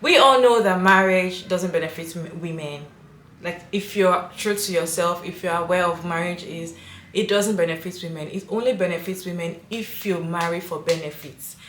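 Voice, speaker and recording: A woman host comments on a video and puts questions to her viewers in an emphatic, opinionated way. Her voice sits a little low, and her words echo around the room. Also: moderate pace, a bit bright, slight background noise.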